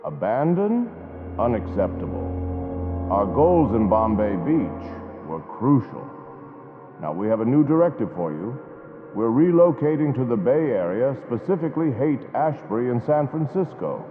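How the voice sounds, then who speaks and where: A man's voice: mid-range voice, very animated, measured pace, soft background music. A man playing an imperious council leader. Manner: imperious, demanding. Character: stern male leader of a secret council. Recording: echoey tinny hall sound.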